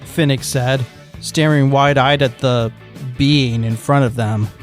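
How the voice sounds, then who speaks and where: A man's voice: mid-range voice, fairly even intonation, takes it slowly. A man reading fan fiction as narrator, in scripted storytelling style. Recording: music under the voice.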